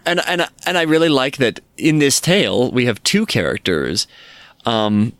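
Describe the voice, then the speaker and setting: A man's voice: medium pitch, moderate pace, fairly bright tone, dry recording. A man co-hosting a podcast, chatting casually and telling stories with informal enthusiasm. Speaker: podcast co-host. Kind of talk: casual chat.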